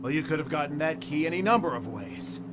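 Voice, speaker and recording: A man's voice: fairly high pitch, animated, quick pace, background noise, lightly acted. An actor playing an irascible, sceptical old man in a radio play, blustering and indignant. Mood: scornful, indignant. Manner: blustering, indignant, stammering scorn.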